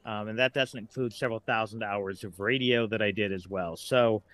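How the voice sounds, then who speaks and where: Bright-voiced American man in his forties. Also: on the low side, fairly even intonation, normal speed, no echo. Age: late 40s. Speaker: guest, writer. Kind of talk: casual podcast conversation.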